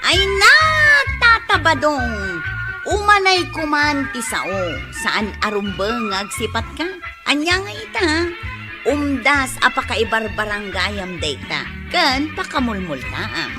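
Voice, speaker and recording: An Ilocano woman playing a spirited, exclamatory radio comedy drama woman, with drawn-out, theatrical delivery. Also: high voice, sing-song, exaggerated voice. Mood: chiding. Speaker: actress and program announcer. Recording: loud music under the voice. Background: loud music.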